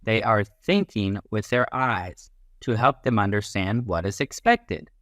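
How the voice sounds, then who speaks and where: A man reading a children's book aloud as a teacher, in an expressive, instructive way that leans on key phrases. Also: fairly low pitch, a little slow, dry recording, clean studio sound.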